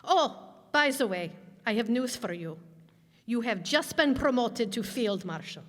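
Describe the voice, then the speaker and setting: A woman's voice: fairly high pitch, animated, measured pace. A woman acting a scheming, imperious onion-state leader, commanding and theatrical, in a satirical radio play. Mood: smoothly menacing. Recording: echoey hall stage performance.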